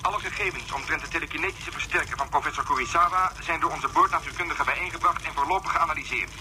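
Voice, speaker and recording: An actor in a Dutch radio drama playing an efficient spaceship officer, giving clipped, formal reports over a radio link. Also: male voice, fairly high pitch, quick pace, sharp tone, a little hiss or hum, low-quality recording. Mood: businesslike.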